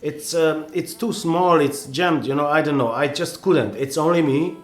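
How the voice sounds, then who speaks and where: A man's voice: on the high side, moderate pace, echoey room, faint music behind. A man with a Hungarian accent, a native Hungarian speaker, giving earnest, rambling photography advice with many restarts and "you know"s in a casual vlog.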